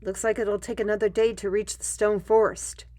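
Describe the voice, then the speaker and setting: A woman's voice: medium pitch, level tone, normal speed, dark tone, close to an everyday voice. A woman playing a weary, wry artist in a fantasy audio drama, musing and conversational as she tells tales and explains plans. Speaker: voice actor in a fantasy audio roleplay. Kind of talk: in-character storytelling and dialogue in audio drama.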